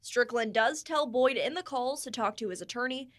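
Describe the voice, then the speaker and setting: Higher-pitched woman reporting the news. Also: moderate pace, fairly bright tone. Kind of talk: scripted news report narration.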